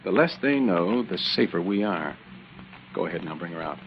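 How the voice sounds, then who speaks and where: An actor playing a cold, calculating gang boss who gives curt orders in an old-time radio drama. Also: male voice, sits low, expressive, normal speed, background noise. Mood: cold, ordering. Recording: muffled vintage radio recording.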